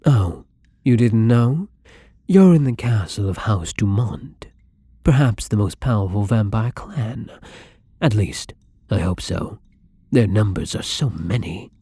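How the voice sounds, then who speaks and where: Apologetic, hungry young vampire for ASMR roleplay, played by a man: gravelly, slow-paced, soft and hesitant, slipping into whispers. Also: lowish voice, expressive, dry recording, studio quality, full character voice. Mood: subdued, wistful. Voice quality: husky, breathy. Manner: soft, hesitant, breathy, often dropping to whispers. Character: newly turned young male vampire, apologetic, timid, hungry. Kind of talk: intimate ASMR roleplay acting.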